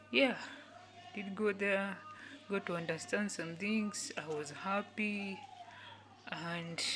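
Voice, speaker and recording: A woman, giving an earnest motivational talk in which she repeats each phrase several times and sometimes drops to a soft whisper. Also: on the low side. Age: 20s. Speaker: youth speaker.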